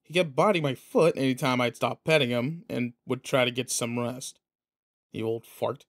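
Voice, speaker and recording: A man acting in an audio roleplay as a protective, teasing, rough-edged but kind pretend boyfriend, talking intimately and casually. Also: mid-range voice, fairly even intonation, normal speed, natural voice. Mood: playful, relaxed.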